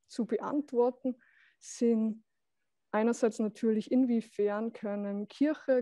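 A young woman presents a project over a video call. She speaks slowly and hesitantly, in a soft-edged, mellow voice. The sound is dry, with little room in it. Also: on the high side, dry recording. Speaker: presenter of an art and culture project. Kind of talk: online presentation. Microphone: video call microphone.

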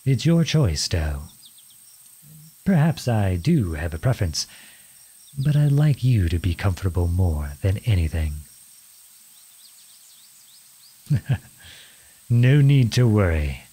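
A man acting a charming, teasing young outlawed prince in an ASMR-style romance roleplay, with a husky voice and a courtly, playful manner. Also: fairly low pitch, sing-song, a little slow, long pauses, dark tone, full character voice. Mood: courteous, warm. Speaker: audio roleplay performer.

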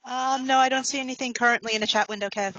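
Female co-host on a video call, fast and flat, slightly high, dull lo-fi sound. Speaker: co-host checking chat.

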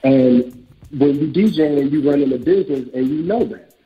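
A man's voice: fairly high pitch, moderate pace. Candid man in his thirties, lo-fi. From Chicago, USA. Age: 30s. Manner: candid, reflective, speeds up when quoting himself. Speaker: author and real estate broker. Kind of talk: casual interview conversation. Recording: dull lo-fi audio with faint music.

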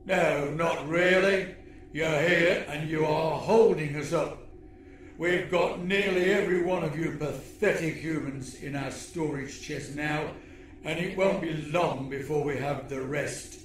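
Man playing a snarling, imperious alien commander, pitched up. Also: steady tone, a little slow, reverberant, distant mic, a little hiss or hum, lightly acted. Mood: smug menace. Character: pompous, menacing male alien commander, quick to rage.

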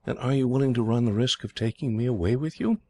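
A frightened young girl speaks in a high, hesitant voice, stumbling over her words, as a male narrator puts her on. She sounds timid and eager to please, like a figure from a storybook adventure. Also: darkish tone, close to an everyday voice. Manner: timid, breathless, halting. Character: frightened young English girl raised as a slave.

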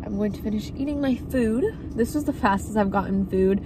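A woman's voice: mid-range voice, brisk. A young woman, vlogging casually to a phone camera from her car, sounding tired and congested. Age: young adult. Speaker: vlogger, cosmetology student. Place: parked car by a road. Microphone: phone camera on the dashboard. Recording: phone audio with clear traffic noise. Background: traffic noise outside.

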